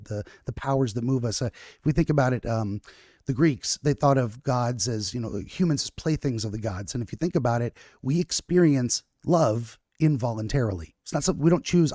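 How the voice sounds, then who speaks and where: A man's voice: medium pitch, darkish tone, dry recording. Rambling man podcast host, dry sound. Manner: rambling, self-correcting, frequent restarts and fillers. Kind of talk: casual conversational interview.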